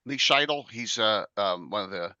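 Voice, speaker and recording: A man with a South Jersey accent hosts a relaxed chat show. He talks in a chatty way, full of restarts and fillers. His voice is bright and animated, recorded dry and close. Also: on the low side, normal speed, smooth, no echo, highs cut off. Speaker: podcast host. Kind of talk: casual livestream chat.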